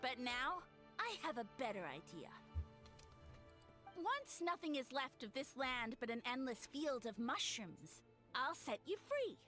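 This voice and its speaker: A woman's voice: sits high, very animated, unhurried, pushed and bright, soft background music, exaggerated voice. A woman voicing a small, pompous male mushroom tyrant, vengeful and blustering, as a theatrical video game villain. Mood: smugly menacing. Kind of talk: animated game villain acting.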